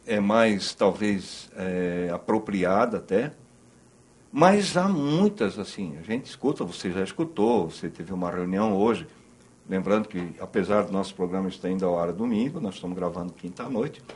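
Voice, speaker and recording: Brazilian guy hosting a cooking show, kinda husky low voice, rambles and jokes while cooking, lapel mic in studio kitchen. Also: darkish tone. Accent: Brazilian Portuguese. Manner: joking, rambling, self-interrupting; cooking at the counter while talking. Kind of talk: casual cooking-show chat. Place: TV studio kitchen set, indoors.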